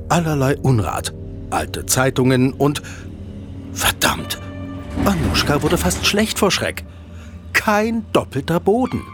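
A man's voice: a little high, a bit bright. A man narrating a scripted audio drama in a dramatic storytelling style.

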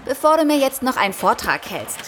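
A woman's voice: sits high, big pitch swings, brisk, background noise. A woman playing a practical, teasing daughter with a wry manner in an audio drama.

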